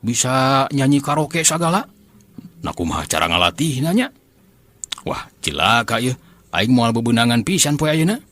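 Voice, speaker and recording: A man's voice: medium pitch, very animated, moderate pace, voice pushed down, close to an everyday voice. A man voicing an envious, exasperated monkey trainer muttering complaints in a comic folk tale, with a Sundanese accent. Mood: envious grumbling. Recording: noisy, hissy recording.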